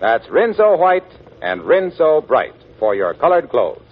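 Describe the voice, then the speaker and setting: Animated, slightly husky male radio announcer. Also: on the high side, unhurried. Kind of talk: 1940s radio announcing, scripted sales pitch. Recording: muffled old radio recording.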